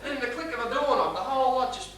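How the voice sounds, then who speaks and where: Casting: man playing a blustering, exasperated bride's father in stage farce, very high bright voice, melodramatic delivery. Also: expressive, rapid-fire. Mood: despairing, aggrieved. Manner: loud, blustering, exasperated, theatrical. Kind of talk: live comic stage play acting. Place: live stage in a small theatre. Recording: echoey theatre stage, distant mic, faint noise.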